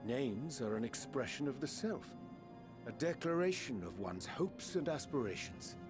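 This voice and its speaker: An actor playing a calm, scholarly man in a fantasy video game, speaking in formal, eloquent, archaic phrases. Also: mid-range voice, moderate pace, music in the background, close to an everyday voice. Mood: solemn, instructive.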